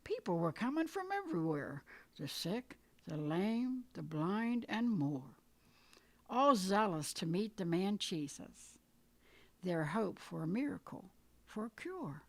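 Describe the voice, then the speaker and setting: A woman reading her own scripted poetic story aloud in a measured, deliberate storytelling manner. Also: medium pitch, sing-song, a little mellow. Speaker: poet reading own work. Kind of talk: dramatic poetry reading, scripted narration.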